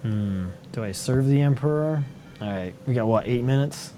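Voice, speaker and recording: A man giving casual, playful commentary while playing a space combat game, reacting quickly and laughing often. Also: mid-range voice, warm tone, slight background noise. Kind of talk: casual let's-play commentary.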